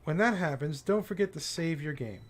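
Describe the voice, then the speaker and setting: Male livestreamer, voice a bit on the high side, informal visual-novel playthrough with read-aloud lines, soft game music behind. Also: lively intonation, moderate pace. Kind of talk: casual playthrough commentary, reading game text aloud.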